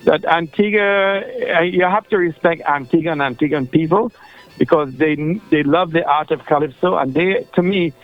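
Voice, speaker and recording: A man's voice: on the high side, moderate pace, soft background music. A man with a Trinidadian accent calling in to a radio show, talking in a rambling, chatty way with lots of fillers and restarts. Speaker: radio caller. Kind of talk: casual phone-in chat. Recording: dull lo-fi phone line.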